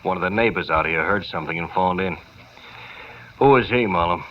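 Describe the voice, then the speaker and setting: An actor playing a gruff, skeptical American homicide lieutenant with a dry, weary, demanding manner in a 1950s radio drama. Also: male voice, low voice, a little fast, close to an everyday voice. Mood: suspicious. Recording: muffled vintage radio broadcast with noise.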